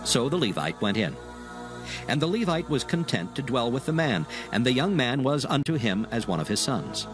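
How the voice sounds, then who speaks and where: Male narrator for scripture drama, medium pitch with wide expressive movement, solemn and measured, music clearly underneath.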